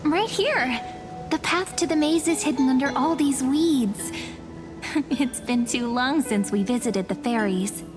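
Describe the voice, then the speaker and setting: A serene, teasing young woman from a fantasy game. Her voice is high and soft, and she takes her time over every phrase, with music playing behind her. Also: lively intonation, measured pace. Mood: calm, fond. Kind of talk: video game voice acting. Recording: background music under the voice.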